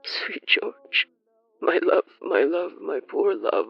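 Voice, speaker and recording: A narrator voicing a grief-stricken gentleman who mutters quietly through sobs in a horror story. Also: medium pitch, big pitch swings, takes it slowly, vocal fry, lo-fi sound, exaggerated voice. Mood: sobbing grief. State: crying.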